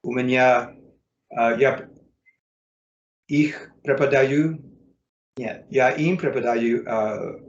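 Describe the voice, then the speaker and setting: An American man, a native English speaker, speaking learner's Russian in a casual interview, halting with many fillers and self-corrections. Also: mid-range voice, echoey room, dull audio, slight background noise. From United States. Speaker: interview guest, English teacher.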